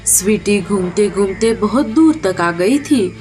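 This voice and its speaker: A woman's voice: medium pitch, expressive, a little slow, a little mellow, soft background music. A woman narrating a scripted story for children.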